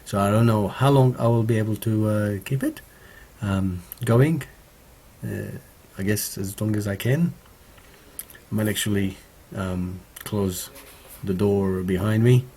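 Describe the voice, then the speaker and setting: A man with a slightly croaky voice hosting a casual live stream from his home studio, talking slowly with many pauses and fillers. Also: fairly low pitch, warm tone. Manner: slow and halting, many fillers. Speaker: home studio streamer answering viewer questions.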